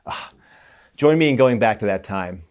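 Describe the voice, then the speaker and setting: Low-voiced man presenting in an echoey room. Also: lively intonation, moderate pace, darkish tone. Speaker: museum education director, stunt host. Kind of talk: presenter talk to camera.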